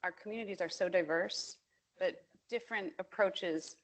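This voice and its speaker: A woman's voice: on the low side, moderate pace. A woman moderating a conference panel discussion, talking in a friendly, chatty way with fillers. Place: conference hall at a forum.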